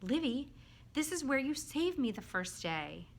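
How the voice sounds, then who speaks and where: Lady doing a little boy creature from a kids book, excitable, kinda timid, high and swoopy. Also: full character voice. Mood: excited, earnest. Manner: childlike, earnest, dramatic, halting when scared. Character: small excitable, timid male storybook creature in a tutu.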